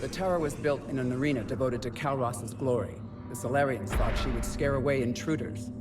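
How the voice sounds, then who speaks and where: A man voicing a calm, reverent alien lore-keeper in a video game, measured and grave. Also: mid-range voice, over music, natural voice.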